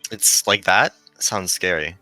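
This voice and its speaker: Visual novel spirit companion, female, curious and gentle read by a man, very crisp forward tone, dry over music. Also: low voice, slow pace, no echo, heavily acted, low-quality recording. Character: curious, eager, non-corporeal female companion being. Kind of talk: visual novel character reading. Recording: music behind the voice.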